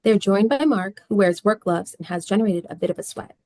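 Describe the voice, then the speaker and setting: A woman's voice: medium pitch, brisk, dark tone, no echo. A woman reading scene descriptions and stage directions as the narrator of a script.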